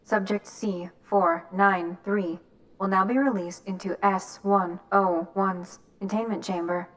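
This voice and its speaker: An impersonal facility announcement voice, played by a woman, flat and clinical. She reads containment notices at a medium pitch without warmth. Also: a little slow, close to an everyday voice.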